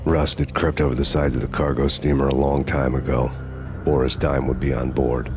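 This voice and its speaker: Game lead: world-weary sardonic cop, rock-bottom pitch, deadpan hardboiled narration, over music. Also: male voice, normal speed. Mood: brooding. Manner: deadpan, wry. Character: jaded, sardonic hardboiled cop, adult man.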